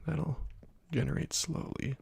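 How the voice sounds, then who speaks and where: A young man hosting a gaming ASMR video, talking softly and slowly, often dropping to a whisper, with frequent ums. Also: a little high, level tone, vocal fry. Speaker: gaming ASMR channel host. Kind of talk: soft-spoken ASMR gameplay commentary.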